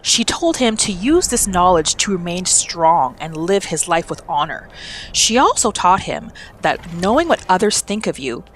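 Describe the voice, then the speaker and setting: A woman's voice: fairly low pitch, sing-song, normal speed, a little hiss or hum, low-quality recording. A woman narrating a character's backstory for a video game roleplay in a scripted storytelling style.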